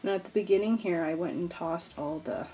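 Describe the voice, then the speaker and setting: Woman vlogger sounding stuffed up and nasally, lowish voice, rambly craft chat. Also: fairly quick, dark tone, low-quality recording. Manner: chatty and rambling, with small laughs and fillers. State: congested from allergies. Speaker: scrapbooking vlogger. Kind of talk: casual craft tutorial chat.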